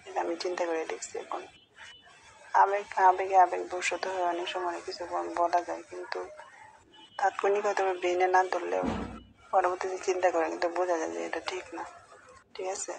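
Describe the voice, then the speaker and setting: Middle-aged woman, creaky voice. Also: lowish voice, level tone, brisk, soft background music. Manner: hesitant, pleading, repeats herself. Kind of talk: private phone conversation. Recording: noisy recorded internet phone call.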